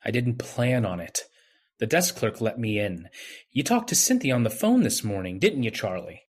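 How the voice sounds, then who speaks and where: A man playing a bewildered, earnest man insisting on his innocence in an old-time radio drama, with a husky voice. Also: medium pitch, big pitch swings, moderate pace. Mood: accusing.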